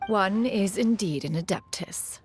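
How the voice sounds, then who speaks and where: A woman's voice: medium pitch, measured pace, over loud music, lightly acted. A woman playing a proud, refined immortal crane lady in a video game, with a lofty, formal manner. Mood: dignified. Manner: lofty, formal, calls herself "one".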